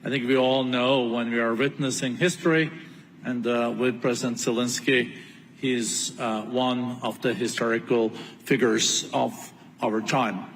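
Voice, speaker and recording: Male moderator, halting, filler-heavy delivery; reverberant conference hall. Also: mid-range voice, fairly even intonation, slight background noise. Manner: halting, lots of filler pauses. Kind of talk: onstage interview. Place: large conference hall with audience. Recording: long hall reverb, faint ambience.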